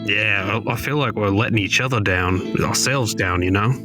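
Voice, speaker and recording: A man's voice: fairly low pitch, normal speed, music in the background, lightly acted. A man playing an earnest, mild-mannered and apologetic gang craftsman with a polite, hesitant way of talking, in a tabletop game.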